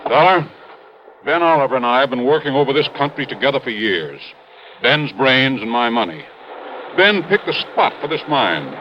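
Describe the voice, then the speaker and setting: An American actor playing a blustering, big-hearted older mine owner in a 1950s radio drama, gruff, emphatic and booming. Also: male voice, big pitch swings, moderate pace, natural voice. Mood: agitated, insistent. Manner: emphatic, gruff, swinging from bellowing to grave. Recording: muffled, noisy old radio recording.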